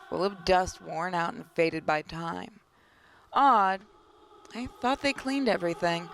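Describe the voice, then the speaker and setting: A woman hosting a gaming video, reading a visual novel aloud dramatically with playful, expressive asides. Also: fairly low pitch, slight background noise.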